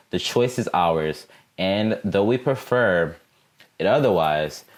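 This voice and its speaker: Low-voiced man reading a solemn speech. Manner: solemn, deliberate rhetorical phrasing.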